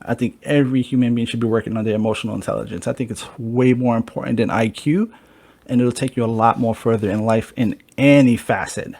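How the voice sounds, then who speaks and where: A man's voice: mid-range voice, steady tone, a little fast, vocal fry, a little mellow. A man hosting a casual podcast chat who talks in a loose way and swears freely. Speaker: podcast host.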